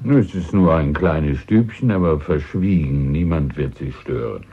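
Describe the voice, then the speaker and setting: A man's voice: a bass voice, unhurried, slightly rough, no echo, exaggerated voice. A man playing an obliging, discreet shopkeeper in a German radio play, polite and apologetic. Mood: courteous, obliging. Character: obliging, discreet, courteous male shopkeeper letting a room. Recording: thin phone-like old radio recording, dry.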